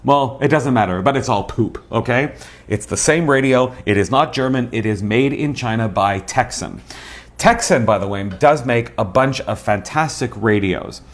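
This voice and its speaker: A man presents a gadget review with a medium-pitched voice. He talks casually and chattily, puts questions to himself and answers them, and stresses his points by repeating them. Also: moderate pace. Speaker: host of a radio review show. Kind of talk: casual on-camera product review.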